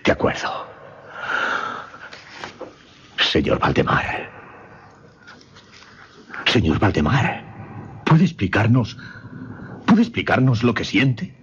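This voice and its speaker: An actor playing an earnest, formal mesmerist in a horror radio play, grave and dramatic, with a low, husky voice. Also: male voice, big pitch swings, slow, pauses often, fairly bright tone, lo-fi sound, slight background noise, full character voice. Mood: urgent, alarmed. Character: earnest male mesmerist recounting and conducting a grim experiment. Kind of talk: Spanish-language horror radio play acting.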